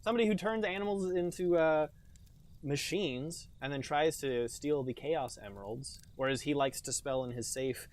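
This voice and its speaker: A male podcast host with a fairly high voice, trading sarcastic jokes with his co-hosts in loose, casual chat. He talks in an animated, playful way. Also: moderate pace.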